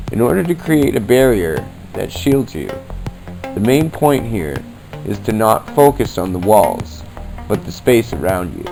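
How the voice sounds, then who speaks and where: Guy playing calm occult teacher, gravelly low voice, measured explaining, music behind him, homemade radio podcast. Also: poor audio. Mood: guiding. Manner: measured, explanatory, addresses listener as friend. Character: calm occult practitioner teaching spiritual protection. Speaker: podcast host playing a practitioner of spirit work. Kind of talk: scripted instructional narration in old-time radio style. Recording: homemade low-budget recording with music underneath. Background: clear background music.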